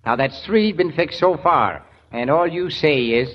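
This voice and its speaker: A man's voice: a little high, lively intonation, measured pace. An actor playing a grumbling, reluctant but good-natured policeman in a 1970s radio drama, full of blustery, exasperated protest. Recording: dull, noisy old radio recording.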